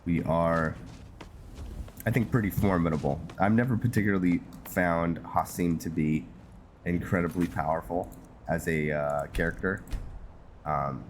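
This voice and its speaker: A man giving casual gameplay commentary, unhurried and halting as he thinks aloud. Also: low voice, a little hiss or hum. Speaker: game streamer narrating his roleplay playthrough. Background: game music.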